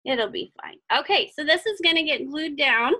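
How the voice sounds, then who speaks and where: A woman's voice: high-pitched, expressive, moderate pace, bright, forceful tone. A woman giving a casual step-by-step craft tutorial, chatty and encouraging, thinking aloud as she works. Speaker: craft instructor.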